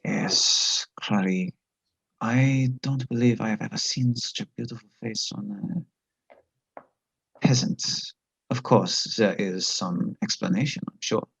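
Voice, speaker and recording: An actor playing a menacing, flirtatious military commandant in a stage play reading, speaking slowly and insinuatingly. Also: male voice, mid-range voice, expressive, vocal fry, a bit bright, slightly rough, dry recording, exaggerated voice, poor audio. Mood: silky menace. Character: menacing, flirtatious male Nazi commandant, smugly charming.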